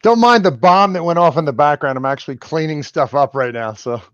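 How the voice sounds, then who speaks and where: A man's voice: on the high side, brisk, a bit bright, no echo, muffled recording. A man calling in as a guest, chatting casually and rambling with restarts over a video call. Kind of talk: casual chat on a live stream.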